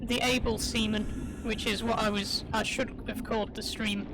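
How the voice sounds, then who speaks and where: A woman's voice: on the high side, level tone, normal speed. A woman streamer giving rambling, chatty commentary while playing, her voice a little crackly, often stopping to correct herself. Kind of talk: casual live gameplay commentary. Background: game music.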